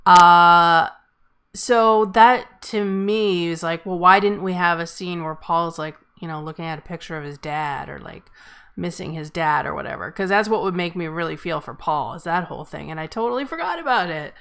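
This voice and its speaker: A woman's voice: fairly low pitch, normal speed, creaky, a bit bright, clean tone. A woman hosting a casual group discussion, chatting in an informal, joking way. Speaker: host moderating a script table read. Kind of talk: casual chat.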